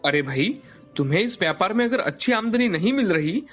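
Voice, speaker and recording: A helpful, friendly cousin from a cartoon story, voiced by a man. He gives advice eagerly, in a bright, lively voice pitched high for a man, with faint music underneath. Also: a little fast. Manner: friendly, advising. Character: helpful adult male cousin.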